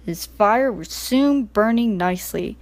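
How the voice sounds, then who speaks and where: A woman narrating a scripted children's storybook in an expressive reading style. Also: medium pitch, measured pace.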